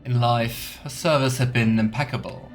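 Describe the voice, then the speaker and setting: A man's voice: medium pitch, fairly even intonation, normal speed. A man hosting a video game let's play, commenting and reading game texts aloud over game music. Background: soft game music.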